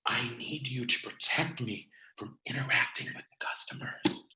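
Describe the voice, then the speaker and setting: A man's voice: mid-range voice, normal speed, sharp tone. A man playing a grumbling, customer-averse electronics repairman in a comedy table read, in a roomy recording. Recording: echoey room sound.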